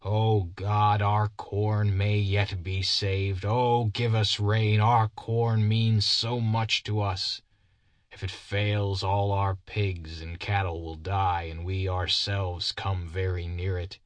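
A man's voice: fairly low pitch, flat delivery, takes it slowly, dry recording. A man voicing a haggard, simple-minded man who earnestly preaches doom and prays in biblical cadence. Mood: earnest prayer.

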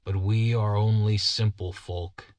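A man's voice: on the low side, fairly even intonation, unhurried, a little mellow, no echo, close to an everyday voice. A man voicing a haggard, simple-minded man who earnestly preaches doom and prays in biblical cadence. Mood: earnest prayer.

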